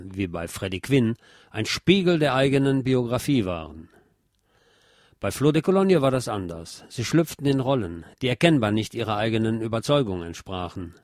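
A man presenting a music history programme in scripted, measured and articulate documentary narration. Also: fairly low pitch, a little mellow, no echo, clean studio sound. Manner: measured, articulate lecturing delivery.

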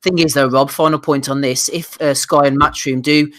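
A man's voice: a little high, steady tone, moderate pace, fairly bright tone. A man hosting a casual podcast, steering the talk between topics with teasing asides. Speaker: podcast host. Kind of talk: casual podcast chat about boxing.

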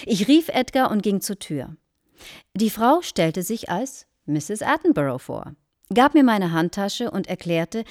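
Radio drama: earnest, formal wife, dramatic storyteller, very dry studio sound. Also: female voice, lowish voice, a little mellow, no echo. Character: earnest married woman solemnly recounting a shocking anecdote to a guest. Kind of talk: German radio play acting.

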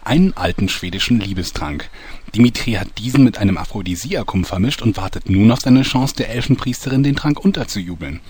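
A man's voice: lowish voice, lively intonation, a little fast, a little mellow, breathy edge, dry recording. A man acting as storyteller, giving scripted narration for a comic fantasy audio drama. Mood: narrating. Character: male storyteller recapping a mock fantasy soap.

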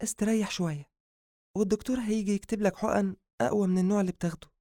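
A man voicing a tender, anxious young woman doctor with an Egyptian accent, in audiobook dialogue, soft-hearted and gently scolding. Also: sits high, steady tone, rapid-fire, dry recording, clean studio sound, voice pushed up. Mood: caring, gently scolding.

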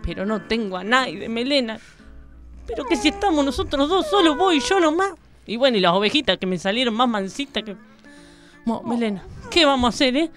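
A woman's voice: a little high, sing-song, over music. An Argentine woman acting an indignant, plucky country woman who narrates her own adventures in a comic radio drama. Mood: resigned, worried. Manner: melodramatic, drawn-out, protesting.

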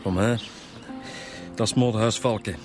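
Man as a chatty low-voiced Flemish police inspector. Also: steady tone, a little slow, music in the background, natural voice. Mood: informative, chatty. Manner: chatty, colloquial. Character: chatty, wry male police inspector, a junior colleague. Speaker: detective. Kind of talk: naturalistic radio drama acting.

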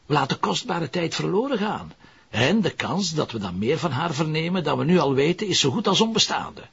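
An actor with a Flemish accent playing a calm, stubborn police detective with a gravelly, husky voice in a TV drama. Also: male voice, on the high side, heavily acted. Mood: calm, insistent. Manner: measured, insistent. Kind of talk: television crime drama acting. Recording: muffled lo-fi TV sound.

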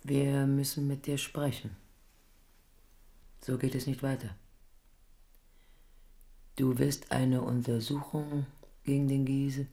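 A woman's voice: extremely low pitch, level tone, takes it slowly, pauses often, dark tone, full character voice. An actress playing a scheming, domineering older queen mother in a radio play, imperious and urgent. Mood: cold, insistent. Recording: 1970s studio radio drama.

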